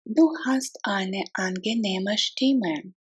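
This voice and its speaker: A woman language teacher reading out phrases for learners to repeat, enunciating deliberately, word by word. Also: medium pitch, breathy edge. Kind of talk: slow phrase drill with translations, listen and repeat.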